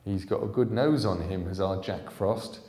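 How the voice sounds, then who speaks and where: A man's voice: sits low, moderate pace, dark tone, natural voice. A man doing a taunting henchman, echoey. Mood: smug, threatening. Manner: threatening, assured. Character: taunting blond henchman, confident and threatening. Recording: echoey hall, public reading.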